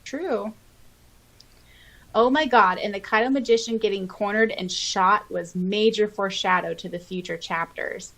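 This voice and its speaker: Expressive woman podcaster, mid-pitched voice. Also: normal speed. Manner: expressive, exclamatory. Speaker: podcast co-host. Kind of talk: casual fan chat, reading out listener comments.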